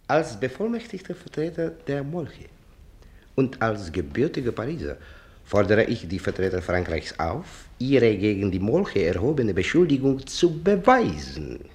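An actor playing a smooth, unyielding lawyer for a non-human power, speaking formally and insistently in a radio play. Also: male voice, medium pitch, lightly acted. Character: smooth, unyielding male lawyer representing the newts.

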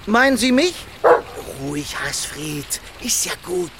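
A man's voice: sits high, very animated, takes it slowly, heavily acted. A man playing an anxious, superstitious villager who speaks with urgent foreboding in a children's radio play. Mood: wary. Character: frightened, superstitious male villager walking his dog. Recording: rain and vehicle noise.

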